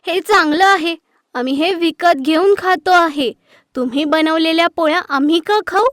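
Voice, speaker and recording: A very high, girlish voice, put on by a woman, for a mischievous little sister in an animated tale. She is bold and cheeky, and the sound is dry and close. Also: moderate pace, no room sound. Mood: brazen. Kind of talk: animated moral story voice acting.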